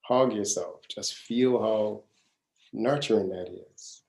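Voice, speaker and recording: A man in his mid-thirties leads a live wellness class in a casual, earnest way, often saying a phrase twice for weight. His voice sits fairly low with a dark, mellow tone. The room around him rings with echo. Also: slow. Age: 30s. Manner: earnest, casual, repeats phrases to stress them. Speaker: wellness coach. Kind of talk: live masterclass talk. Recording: echoey room.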